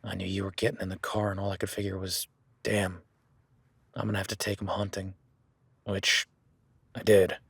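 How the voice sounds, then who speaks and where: A man's voice: low-pitched, level tone, moderate pace, vocal fry, pauses often, fairly bright tone, husky, dry recording, heavily acted. A man playing a rugged, plain-spoken cowboy ranch hand with a folksy, easygoing manner that slips into casual swearing, in an audio roleplay. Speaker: ranch hand guiding a newcomer.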